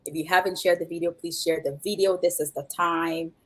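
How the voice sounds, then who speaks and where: Live show host: woman, lowish pitch, effusive, repeats herself for emphasis. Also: fairly even intonation, fairly quick, husky. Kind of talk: live stream introduction and interviewing.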